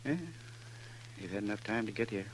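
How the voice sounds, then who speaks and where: A man's voice: lowish voice, level tone, brisk, close to an everyday voice. An actor playing an anxious, plain-spoken American frontier man in a 1950s radio western, folksy and full of questions. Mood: uneasy, doubtful. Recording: noisy 1950s radio recording.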